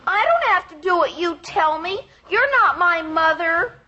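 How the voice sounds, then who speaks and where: A woman's voice: very high voice, lively intonation, moderate pace, bright, forceful tone, highs cut off, heavily acted. A female performer playing an eager, pestering little girl in a 1950s radio sitcom, whiny and dramatically earnest, on a noisy old recording. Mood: pestering, defiant.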